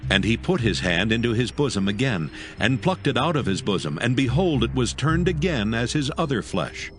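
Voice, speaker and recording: A man's voice: medium pitch, very animated, normal speed. A man narrating a dramatized scripture reading as its storyteller. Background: music.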